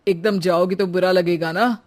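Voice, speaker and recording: A woman's voice: fairly low pitch, steady tone, normal speed, lightly acted. A woman voicing an awkward adult brother with a hesitant, hedging manner in a cartoon family story.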